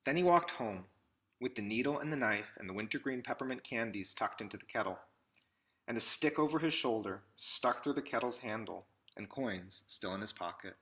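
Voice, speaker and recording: A man in his thirties reads a storybook aloud in measured short phrases with pauses, recorded indoors at arm's length from the mic. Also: mid-range voice, animated, fairly bright tone. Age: 30s. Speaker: picture book reader. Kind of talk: read-aloud storybook narration. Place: small indoor room with classroom wall displays.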